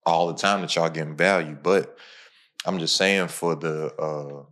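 A man hosting a podcast in an energetic, informal, motivational way. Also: measured pace. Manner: energetic, informal, pitches his own program. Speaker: podcast host. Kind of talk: casual motivational podcast talk.

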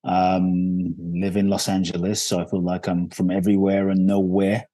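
A man telling his life story as a podcast guest, earnest and reflective, often pausing on "and so" and "uh". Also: low-pitched, completely flat delivery, mellow. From Ghana.

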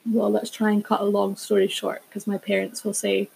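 Husky young woman, creaky voice. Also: mid-range voice, completely flat delivery, normal speed, darkish tone, rough recording. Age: 20s. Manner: rambling, self-aware asides. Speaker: podcast host. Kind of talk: casual chatty solo podcast.

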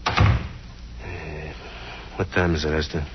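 An actor playing a sullen, grumbling small-time crook with slangy talk, in a 1940s radio drama on a muffled, noisy recording. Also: male voice, a bass voice, level tone, slow pace. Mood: grumbling, miffed.

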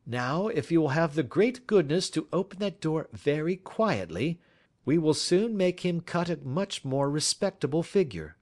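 Man reading as a shrewd detective, higher voice, dry and assured, measured. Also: very animated, darkish tone. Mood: wry. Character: cool, shrewd male detective, dry wit.

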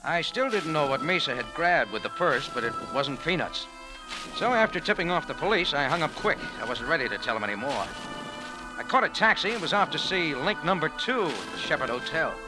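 Tough wry american private-eye type, 1940s radio drama, voice moves up and down a lot, music on it. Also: male voice, fairly high pitch, very animated, fairly quick, poor audio. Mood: grim, wry. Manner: wry, clipped, hard-boiled. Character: tough, wry American bar owner turned amateur sleuth. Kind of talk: 1940s radio drama acting, hard-boiled first-person narration.

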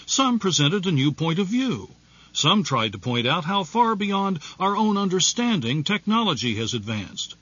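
Husky, expressive male narrator. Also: fairly high pitch, highs cut off. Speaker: presenter, narrator. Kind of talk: scripted documentary narration.

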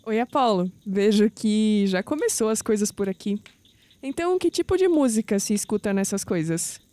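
Woman acting coy young Brazilian woman, mellow voice. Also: mid-range voice, lively intonation, moderate pace, no room sound, close to an everyday voice. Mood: coy, curious. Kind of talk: audio drama acting.

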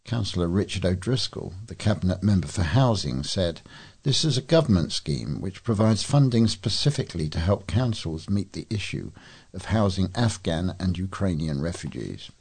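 Low husky man reading news. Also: sing-song, moderate pace, darkish tone. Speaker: volunteer newsreader. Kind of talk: read-aloud local news.

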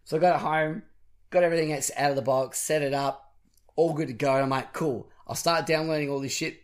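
Husky, raspy young man, chatty podcast host. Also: fairly high pitch, fairly even intonation, fairly quick. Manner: rambling, chatty, self-deprecating. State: husky, raspy voice from a cold and singing. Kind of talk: casual chat.

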